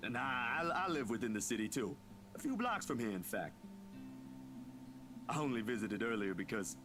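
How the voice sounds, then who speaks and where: A man's voice: mid-range voice, lively intonation, moderate pace, over music, natural voice. A man playing a polite, unassuming young photographer with a hesitant manner in a visual novel.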